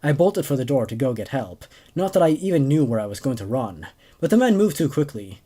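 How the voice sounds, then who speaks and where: A man's voice: mid-range voice, sing-song, a little fast, dark tone. A man in his twenties hosting a horror story show, reading aloud and chatting casually about the tales. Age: 20s. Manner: hesitant, repeats words when commenting. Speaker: host and narrator of horror stories.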